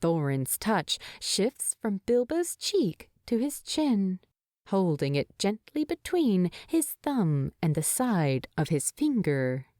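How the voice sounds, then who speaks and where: A woman narrating a story in an expressive, tender, unhurried way. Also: medium pitch, no echo, studio quality. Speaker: fan fiction narrator.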